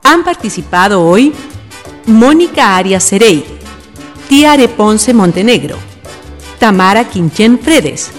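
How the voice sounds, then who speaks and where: A woman's voice: mid-range voice, sing-song, slow, pauses often. A woman reading scripted radio narration in a solemn, florid storytelling manner. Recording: long reverb over music.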